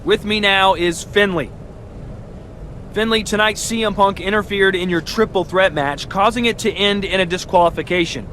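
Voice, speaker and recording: A man's voice: sits high, moderate pace, sharp tone, a little hiss or hum. A man playing a formal, eager backstage interviewer in a wrestling video game. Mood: inquiring.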